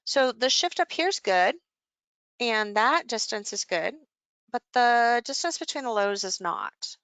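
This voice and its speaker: A woman's voice: fairly high pitch, animated, measured pace, a bit bright, dry recording. A woman coaching a live session, explaining things informally and conversationally as she thinks aloud over charts.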